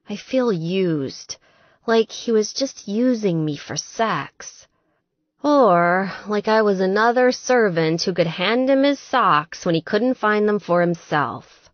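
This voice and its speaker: A woman's voice: mid-range voice, expressive, slow, dry recording, lo-fi sound, pitched above the performer's own voice. A woman voicing a tipsy, heartbroken young woman in an audiobook, plaintive and self-pitying, with a sighing, drunken delivery. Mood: hurt. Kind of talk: audiobook character dialogue.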